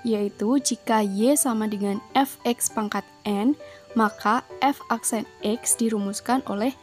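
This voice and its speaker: Calm methodical Indonesian woman teacher. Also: fairly high pitch, a little slow, soft background music. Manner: calm, methodical, explains each step aloud. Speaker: math teacher. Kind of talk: step-by-step math lesson.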